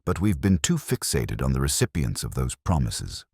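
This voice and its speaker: A man reading a husky, measured inspector. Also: rumbling low, sing-song, dry recording, studio quality, heavily acted. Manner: quiet, measured, probing. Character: shrewd, calm middle-aged male police inspector. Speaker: detective inspector.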